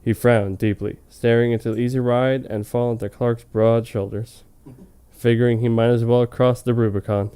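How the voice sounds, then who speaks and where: Smooth, mellow man reading mock-dramatically. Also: medium pitch, flat delivery, normal speed. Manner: hammy, amused, breaking off to comment. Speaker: reader of fan fiction on a comedy web show. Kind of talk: mock-dramatic reading with casual joking asides.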